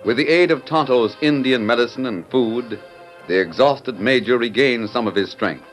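A man's voice: mid-range voice, normal speed, lightly acted, rough recording. A man narrating an old radio western serial as a dramatic, polished storyteller. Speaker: announcer and narrator. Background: music.

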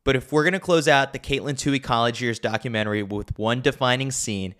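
A man's voice: mid-range voice, fairly quick, a bit bright. A man narrating a scripted sports newsletter as a podcast host, with a wry, opinionated way of talking.

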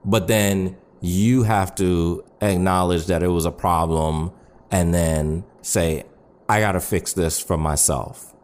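A man speaking as a podcast guest, explaining things in a measured way and checking in with "right?". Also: sits low, steady tone, a little mellow. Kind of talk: conversational advice on a podcast.

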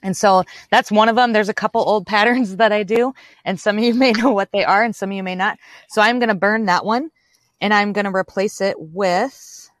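Young woman rambling on a livestream, super dry sound, everyday pitch. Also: fairly quick, no echo. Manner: chatty, loose and rambling. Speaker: livestream host. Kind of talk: casual ritual livestream chat.

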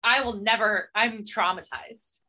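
A woman's voice: mid-range voice, steady tone, a little slow, pushed and bright, low-quality recording. A young woman co-hosting a casual book podcast, chatty and sarcastic, mimicking characters as she talks. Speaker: podcast co-host. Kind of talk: casual chat about books.

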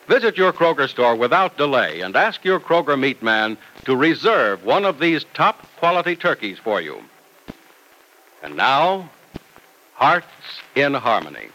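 Old time radio announcer guy, polished sales pitch, bright, swoopy medium voice. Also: poor audio. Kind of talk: 1940s-50s radio announcing, scripted pitch. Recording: vintage radio transcription.

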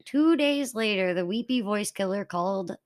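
Woman co-host, middle pitch, reads true-crime case notes aloud in a conversational podcast. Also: animated, normal speed.

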